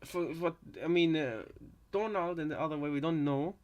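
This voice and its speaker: A man's voice: on the high side, moderate pace, muffled recording. A man giving casual reaction commentary, hesitant and repeating himself as he thinks aloud. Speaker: reactor, fan theorist.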